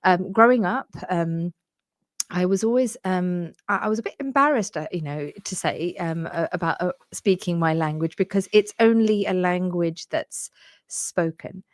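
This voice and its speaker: Middle-aged woman from England, radio host; lowish voice, dry studio sound, hesitant and reflective. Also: normal speed, dry recording. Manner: reflective, hesitant with many fillers. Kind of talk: casual radio chat. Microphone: studio microphone.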